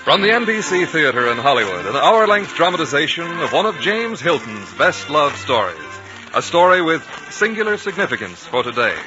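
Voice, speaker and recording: Highish, melodic American radio announcer man. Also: big pitch swings, normal speed. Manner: stately, formal. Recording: dull vintage broadcast, loud music behind.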